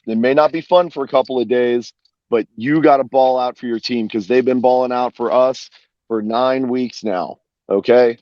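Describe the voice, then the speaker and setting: A man's voice: mid-range voice, steady tone, normal speed, no room sound. Hype male co-host, dry dull sound. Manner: hype, encouraging, pep-talk delivery. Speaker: podcast co-host. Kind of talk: casual fan podcast chat.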